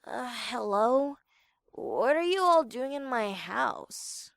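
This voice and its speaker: A woman playing a tired, protective pegasus mother with a sly streak and a creaky voice, in an audio story. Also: on the high side, very animated, slow, dry recording, studio quality, heavily acted. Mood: baffled, annoyed. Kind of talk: scripted audio story acting.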